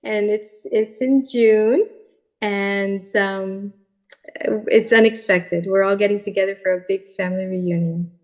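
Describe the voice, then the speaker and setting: A woman with a medium-pitched voice speaks as a guest on a podcast. She tells of personal experience in the manner of a testimony. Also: fairly even intonation, a little slow.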